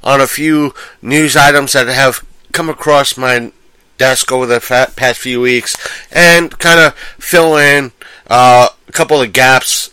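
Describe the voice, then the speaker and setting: A man with a cold, sounding nasal, hosting a casual solo movie-news commentary in a rambling, halting way. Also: mid-range voice, bright, forceful tone, clear, resonant tone, dry recording, rough recording. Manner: rambling, halting, self-correcting. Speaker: host.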